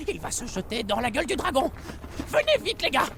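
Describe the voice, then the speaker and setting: A woman voicing a small, excitable, panicky weasel in a French cartoon dub, frantic and squeaky with some creak. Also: fairly quick, heavily acted. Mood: frightened, gossiping. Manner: frantic, exclaiming. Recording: music under the voice.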